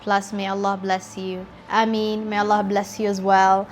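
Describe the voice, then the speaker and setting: A woman's voice: medium pitch, level tone, normal speed, a little hiss or hum. A woman in her twenties, a journalist chatting candidly through a get-to-know-me Q&A, laughing easily. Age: 20s. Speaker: journalist and YouTuber. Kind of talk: casual get-to-know-me Q&A vlog.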